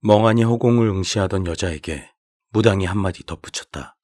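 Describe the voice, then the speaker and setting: Low-voiced man narrating horror. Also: brisk, a little mellow, no room sound, studio quality.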